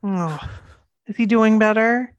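A woman in her thirties co-hosting a podcast, chatting eagerly with quick asides, sniffly from the cold. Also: fairly high pitch, lo-fi sound. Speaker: podcast co-host. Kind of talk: casual chat.

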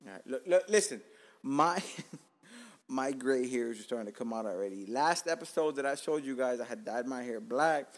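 Profane laid-back Cuban-born podcast host. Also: male voice, medium pitch, lively intonation, normal speed. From Cuba. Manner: profane, joking, laid-back street talk. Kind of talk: casual podcast chat.

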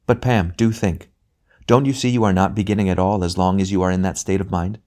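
A man voicing a bright spirit, a brother who speaks gently and patiently in a calm, measured way. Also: low-pitched, natural voice. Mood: gentle, patient.

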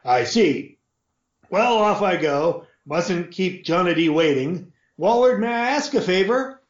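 A man voicing a blustering, pompous middle-aged lord who hectors loudly in a raised voice. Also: expressive, takes it slowly. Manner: loud, high, hectoring. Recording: roomy, dull lo-fi audio.

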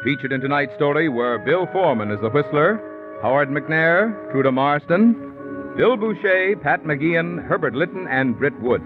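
A man's voice: on the high side, lively intonation, moderate pace. A man announcing programme credits in 1950s radio style. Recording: tinny 1950s radio, loud music.

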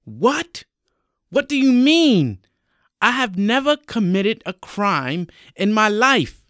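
A man's voice: high-pitched, big pitch swings, takes it slowly, fairly bright tone, no echo, clean studio sound, pitched above the performer's own voice, heavily acted. A man voicing a desperate, indignant young man in a dramatic story, pleading and defiant. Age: young adult. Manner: emphatic, exclaiming, pleading.

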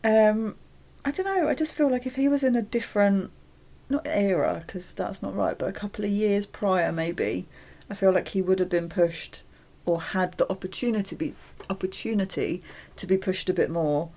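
A woman's voice: medium pitch, normal speed, warm tone. A woman co-hosting a podcast, laughing often and giving playful, firm opinions. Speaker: podcast co-host. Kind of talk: casual chat.

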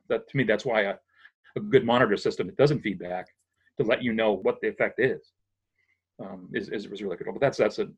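A man's voice: fairly low pitch, husky, highs cut off. A man who works as a sound engineer, patiently explaining audio equipment in a casual, technical chat, often restarting his sentences.

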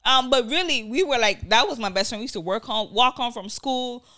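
A woman's voice: fairly high pitch, a little fast. A young woman with a Nigerian accent, chatting casually on a podcast with lots of slang and swearing. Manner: animated, swearing, slangy, jumps between loud and hushed. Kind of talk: casual chat.

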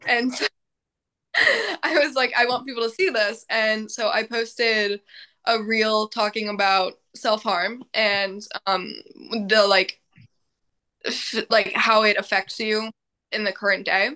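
Bright-voiced young woman, casual and chatty. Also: mid-range voice. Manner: casual, filler-heavy, laughs often. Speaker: podcast guest, mental health advocate. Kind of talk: casual interview chat.

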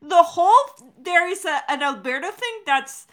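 High, bright, animated woman chatting. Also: moderate pace. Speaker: podcast co-host, audio drama creator. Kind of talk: casual chat, answering listener questions.

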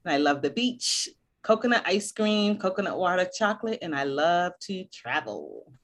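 A middle-aged woman school counselor, born in Barbados and raised in Boston, speaking in an earnest, measured way as a podcast guest. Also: on the low side, big pitch swings. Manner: earnest, measured, listing points. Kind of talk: podcast interview.

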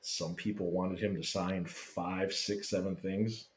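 A man casually telling a lively fan story, swearing freely and acting out what people said. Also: deep voice, slow pace. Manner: animated, swearing, acts out quotes. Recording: roomy echo.

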